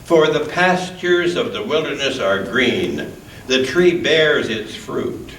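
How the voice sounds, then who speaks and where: A man with a fairly low voice reads scripture solemnly in a roomy, echoing church. His delivery is slow and deliberate, with lively rises and falls in pitch. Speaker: scripture reader at a church service. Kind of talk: solemn scripture reading with short introductions. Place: indoors in a church. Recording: roomy church, distant mic, faint noise.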